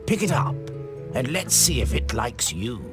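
An actor playing an eerie, courteous old British wand shopkeeper in a video game, speaking deliberately with theatrical pauses. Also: male voice, medium pitch, sing-song. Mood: instructing, brisk. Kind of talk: game cutscene acting. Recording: loud music under the voice.

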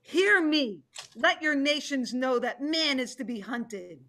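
A middle-aged woman playing a fierce, determined tiger in a dramatic play reading, speaking deliberately and with command. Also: high voice, expressive, sharp tone, exaggerated voice. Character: fierce female tiger, stern, determined hunter. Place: home room, video call.

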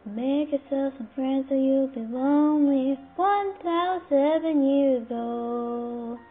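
A woman singing a slow, soft pop song cover. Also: high-pitched. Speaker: cover singer. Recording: tinny phone-like sound with faint backing music.

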